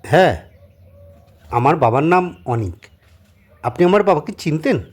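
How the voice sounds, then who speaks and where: A man voicing a polite, puzzled young houseguest with a husky voice and a questioning way in an audio drama. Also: a little high, lively intonation, measured pace, pitched above the performer's own voice. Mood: puzzled, eager. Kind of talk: Bengali audio drama acting.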